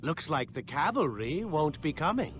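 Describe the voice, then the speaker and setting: An actor playing a cold, domineering scientist villain in a video game, curt and sneering. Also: male voice, on the high side, very animated, moderate pace, background noise. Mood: irritated, menacing. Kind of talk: dramatic video game acting.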